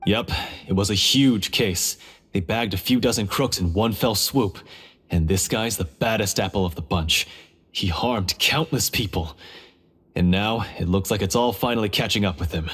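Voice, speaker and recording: A blunt prison guard from a video game. His voice is fairly low and husky, and he talks in an informal, plain-spoken way. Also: moderate pace, fairly bright tone. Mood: worried, chatty.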